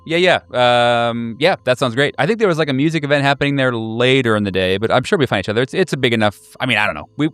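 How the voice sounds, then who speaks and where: A man's voice: mid-range voice, fast, hardly any pauses, fairly bright tone, clean tone, dry recording, natural voice. A man voicing an eager, scatterbrained night-owl friend who rambles and stammers in a tabletop game. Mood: friendly, rambling.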